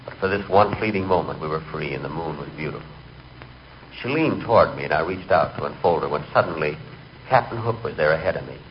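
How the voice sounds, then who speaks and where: A man's voice: extremely low pitch, lively intonation, quick pace. An actor playing a hapless, melancholy man who narrates his humiliations in a 1940s radio comedy. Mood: wistful. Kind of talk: comic first-person narration, 1940s radio. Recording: muffled, noisy 1940s radio recording.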